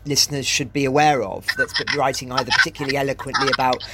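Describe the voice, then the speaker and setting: Man asking questions over loud noise. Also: medium pitch, little pitch movement. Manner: measured, hesitant questioning. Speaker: podcast host. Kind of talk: interview questions.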